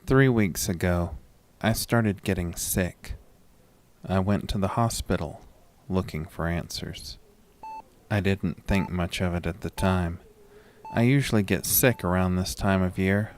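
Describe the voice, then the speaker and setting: A man's voice: sits low, steady tone, measured pace. A man narrating a first-person horror story from a script.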